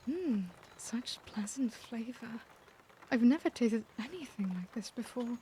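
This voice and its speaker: A woman voicing a caring, refined vampire lady in an ASMR roleplay, soft-spoken and unhurried, with genteel, formal phrasing. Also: fairly high pitch, lively intonation, slight background noise, rough recording. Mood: relaxed, charmed. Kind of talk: ASMR roleplay, scripted character acting.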